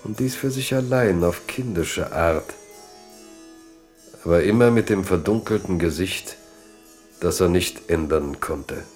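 Solemn guy narrating a literary radio play, really slow and measured, bit of music underneath. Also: sits low, darkish tone. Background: faint music.

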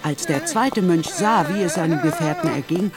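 A man's voice: on the high side, very animated, normal speed, over loud music. A man reading scripted literary narration for a radio drama.

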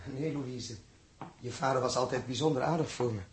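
An actor playing a weary, puzzled man who is gentle with a child, in a hesitant 1960s radio drama delivery. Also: medium pitch, moderate pace, slight background noise, natural voice.

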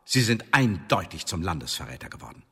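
A stern Elizabethan secret-service interrogator in a radio play, rasping slightly and ringing through a long hall reverb. He is sardonic and officious, with a pitch that swings widely as he lays out scornful, rhetorical charges. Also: very animated, a little fast, a bit bright, full character voice. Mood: accusing. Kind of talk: radio drama acting.

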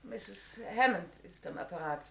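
An actress playing a brisk, dutiful pharmacy assistant, courteous and businesslike, in a 1960s German radio crime play. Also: female voice, medium pitch, lively intonation, creaky, noisy background. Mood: brisk, polite.

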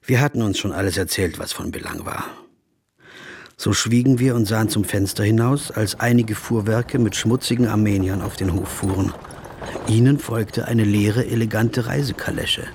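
An actor playing a reflective, observant traveller, narrating his story in a measured literary style for a radio play. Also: fairly low pitch, vocal fry, darkish tone. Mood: calm, observant. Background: music.

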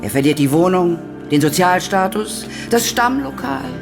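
Cool scheming conspirator, low voice, really varied intonation, methodical, music behind. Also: female voice, very animated, moderate pace. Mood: cool, calculating. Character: cool, calculating female conspirator briefing a plan. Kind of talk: radio drama acting.

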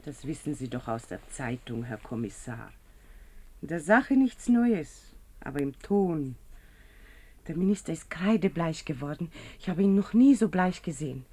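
Prim, mellow-voiced secretary. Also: female voice, low-pitched, big pitch swings, normal speed, breathy edge, heavily acted. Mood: troubled, guarded. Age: 30s. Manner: formal, guarded, curtly correct. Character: prim, loyal minister's secretary, woman in her thirties. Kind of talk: 1950s German radio play acting.

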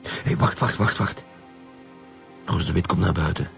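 A Flemish chief detective in a radio crime serial, gruff and bossy. His low voice fires off terse orders over a music bed. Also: moderate pace. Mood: urgent, tense. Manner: terse, commanding. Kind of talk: radio crime drama acting. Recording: music under the voice.

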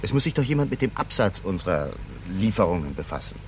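A man's voice: low-pitched, very animated, fast talker, slight background noise. An actor playing a cold, greedy, imperious businessman in a 1970s German radio crime play. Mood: cool, scornful. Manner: imperious, curt, commanding. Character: cold, greedy, domineering businessman running a drug scheme.

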